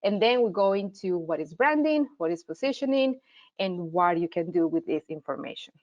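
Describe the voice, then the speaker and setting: A woman's voice: lowish voice, lively intonation, normal speed, darkish tone, muffled recording. Woman with a Colombian accent teaching. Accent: Colombian, from Medellín. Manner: friendly, explanatory, with frequent fillers and restarts. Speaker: marketing teacher and host. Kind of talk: live online workshop presentation.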